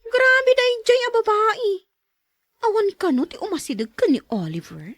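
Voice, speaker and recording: A woman playing a nosy, outspoken young friend in an audio drama, with an animated, teasing manner. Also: extremely high pitch, moderate pace, no room sound, full character voice. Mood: indignant.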